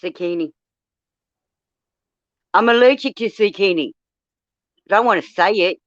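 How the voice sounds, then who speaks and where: A woman's voice: mid-range voice, unhurried, no echo, lo-fi sound. A woman from Australia with an Australian accent, chatting casually, blunt and swearing freely as she tells stories. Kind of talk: casual chat.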